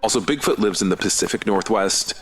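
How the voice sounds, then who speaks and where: A man's voice: fairly low pitch, brisk, fairly bright tone, slight background noise, natural voice. Easygoing, enthusiastic male running buddy played by an actor. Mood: excited, playful. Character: friendly, earnest, cryptid-obsessed male running buddy. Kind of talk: conversational audio drama acting.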